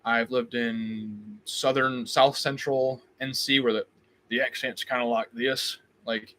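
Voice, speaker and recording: Bright-voiced man with a slight Midwestern accent. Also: medium pitch, fairly even intonation, slow, a little hiss or hum. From Illinois, US. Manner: reads chat questions aloud, answers off the cuff with frequent ums and restarts. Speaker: host. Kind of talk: live stream Q&A.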